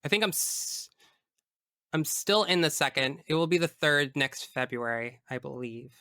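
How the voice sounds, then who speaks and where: A man talks casually as an interview guest, with a bright, smooth voice. He is expressive and emphatic, full of enthusiasm. The sound is dry and close, without room echo. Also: a little high, moderate pace. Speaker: tabletop game master. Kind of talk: casual interview chat about personal experience.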